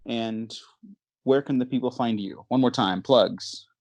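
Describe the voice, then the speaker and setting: A man hosting a podcast in casual chat, animated and playfully dramatic, often interrupting himself as he moderates a debate. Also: mid-range voice, unhurried, no echo, highs cut off. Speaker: podcast host.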